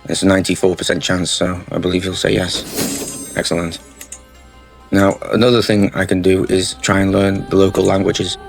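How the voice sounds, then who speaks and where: A man talks through a strategy game campaign in a low, level voice. His tone stays steady and matter-of-fact as he explains each choice, with soft music playing under him. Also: normal speed, vocal fry. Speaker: gaming channel host. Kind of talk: strategy game playthrough commentary. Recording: faint music bed under the voice.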